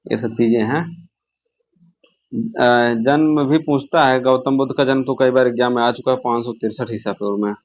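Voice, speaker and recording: A man's voice: mid-range voice, steady tone, moderate pace. A man teaching an exam-prep lesson, asking quiz questions and answering them himself, repeating the key answers. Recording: dull lo-fi audio.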